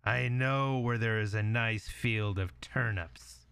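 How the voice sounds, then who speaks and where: Man doing a slow, sly storybook wolf. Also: fairly low pitch, vocal fry, fairly bright tone, smooth, no echo, exaggerated voice. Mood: sly coaxing. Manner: slow, drawn-out, coaxing delivery. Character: sly, hungry male wolf trying to trick a pig.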